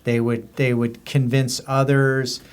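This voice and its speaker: A man's voice: medium pitch, level tone, slow pace, smooth. An American man speaks as a podcast guest in an unscripted, conversational way. He unfolds anecdotes loosely, circling through examples and details as he explains. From US border region. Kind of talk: casual anecdotal storytelling.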